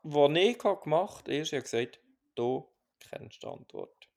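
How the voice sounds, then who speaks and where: Gravelly Swiss German man, calm. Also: on the high side, unhurried. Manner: calm, searching, many restarts. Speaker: podcast co-host. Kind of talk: casual reflective chat.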